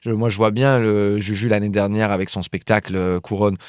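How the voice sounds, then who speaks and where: A man's voice: fairly low pitch, little pitch movement, brisk, a little mellow, clean tone, dry recording, studio quality. A man from France, chatting casually as a comedian guest on a podcast. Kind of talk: casual chat.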